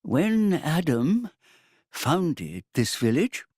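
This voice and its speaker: An actor in a video game playing a wise old mentor, speaking in a grave, measured, instructive manner. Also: male voice, on the high side, very animated, a little mellow, husky, no room sound, clean studio sound, heavily acted. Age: older. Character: solemn old male mentor, wise keeper of secrets. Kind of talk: video game cutscene acting.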